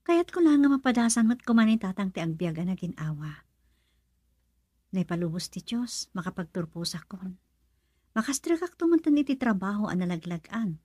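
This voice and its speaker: A woman playing a gentle, worried young woman in love in a radio romance drama, earnest and plaintive. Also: medium pitch, sing-song, moderate pace, no echo. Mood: tender, worried.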